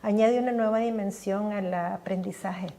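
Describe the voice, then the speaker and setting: A woman's voice: medium pitch, steady tone, moderate pace, dark tone. An older woman speaking as a guest in a podcast interview, enthusiastic and often stumbling over repeated words. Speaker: guest scientist.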